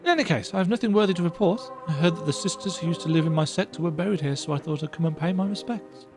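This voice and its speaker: A woman voicing a weary, earnest young male imperial soldier who speaks in a reflective, softly resigned way. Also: fairly low pitch, rapid-fire, music in the background, lightly acted.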